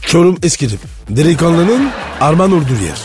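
Lively male sidekick from France over music. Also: a little high, normal speed. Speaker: radio show sidekick co-host. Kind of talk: casual comic banter. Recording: music bed behind the voice.

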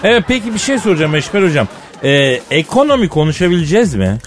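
A man's voice: fairly high pitch, very animated, fast talker. A man hosting a comic radio show, bantering and interviewing in a teasing, mock-scolding colloquial way. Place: radio studio. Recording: studio voice over background music.

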